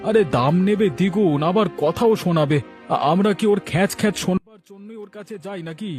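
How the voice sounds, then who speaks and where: Man acting a disgruntled cartoon villager, higher-pitched, indignant, emphatic complaining, light music behind. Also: a little fast, darkish tone, lightly acted. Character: disgruntled village customer, indignant, proud, vowing payback. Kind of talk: Bengali moral cartoon voice acting.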